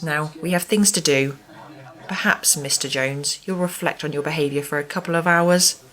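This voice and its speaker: A woman's voice: low-pitched, moderate pace, a bit bright. A woman acting a prim, officious manager. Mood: smug, stern. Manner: formal, reproving. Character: prim, officious, stern care home manager. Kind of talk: radio play acting.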